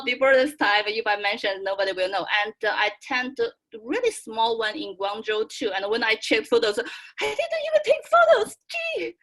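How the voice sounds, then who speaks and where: A young adult woman from China with a Chinese accent, chatting casually in halting, excitable, giggly English on a video call. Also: a little high, rough recording. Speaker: student and fan club member.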